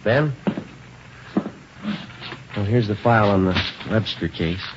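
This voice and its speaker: An actor playing a terse homicide detective in an old radio drama, narrating in clipped, matter-of-fact, understated phrases. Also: male voice, on the low side, takes it slowly, background noise. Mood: brisk, businesslike. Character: terse, businesslike male police homicide detective sergeant. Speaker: lead actor and first-person narrator. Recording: dull vintage radio recording.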